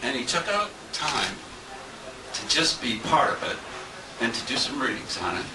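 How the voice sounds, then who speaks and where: An older man speaks plainly and earnestly in an off-the-cuff tribute. His medium-pitched voice comes from far off in an echoey, noisy restaurant room, recorded on a small camera. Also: lively intonation, moderate pace. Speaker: longtime friend giving a tribute. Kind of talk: unprepared personal tribute. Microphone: handheld compact camera at a distance.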